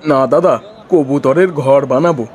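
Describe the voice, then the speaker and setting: A man's voice: medium pitch, unhurried, a little mellow, a faint crowd, a little hiss or hum, close to an everyday voice. A man playing an earnest, eager village husband and small trader in a cartoon story. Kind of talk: Bengali cartoon story voice acting.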